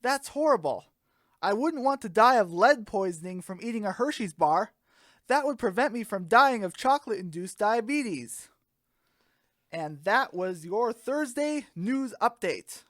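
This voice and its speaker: A woman co-hosting a scripted comedy news segment, delivering her jokes briskly in the manner of a newsreader. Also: mid-range voice, expressive, no echo, studio quality. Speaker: sketch comedy news co-host. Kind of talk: scripted parody newscast.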